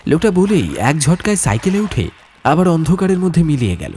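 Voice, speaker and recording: A man narrating a horror audiobook as a storyteller, with suspenseful, atmospheric delivery and deliberate phrasing. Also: a little high, very animated, background noise.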